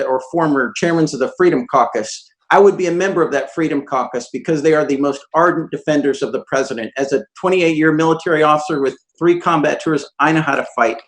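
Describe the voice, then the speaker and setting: A man running for office, answering forcefully in a political debate after a few hesitant starts. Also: a little high, rough recording. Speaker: political candidate.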